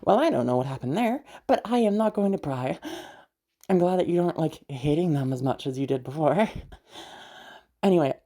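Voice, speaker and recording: A woman playing a friendly young woman with a hidden obsessive side, in roleplay audio addressed to the listener, with a husky, hesitant delivery. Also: low-pitched, expressive, fairly quick, long pauses, mellow. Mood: teasing, reassuring.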